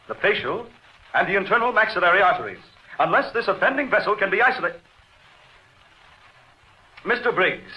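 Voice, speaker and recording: A dull, lo-fi old radio drama carries a stern professor of surgery. The man's voice sits a little high, and he speaks with imperious, formal diction. He comes across as secretive, brooding and impatient. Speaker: actor in a horror radio drama. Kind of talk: old-time radio acting.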